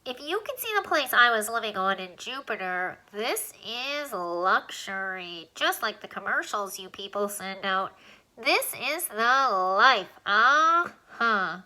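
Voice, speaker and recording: A woman voicing a tiny, chatty male alien with a high, squeaky voice and a wisecracking manner. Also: big pitch swings, sharp tone, full character voice, low-quality recording. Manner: fast-talking, wisecracking.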